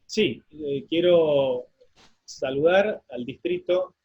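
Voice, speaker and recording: Argentine guy, husky voice, halting greetings, muffled lo-fi audio. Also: fairly high pitch, darkish tone. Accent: Argentine Spanish. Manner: halting, sends greetings place by place. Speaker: pastor. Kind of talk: greetings on a church lesson program. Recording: dull lo-fi sound.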